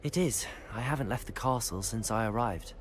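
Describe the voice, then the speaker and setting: A woman's voice: very deep voice, normal speed, slight background noise. An actress voicing a polite, reserved young female wizard student in a video game.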